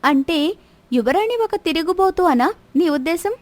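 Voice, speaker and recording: A woman's voice: very high voice, animated, moderate pace, no echo, voice pushed up. A woman voicing a bold young princess in disguise, asking probing, teasing questions in a story. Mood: teasing, testing.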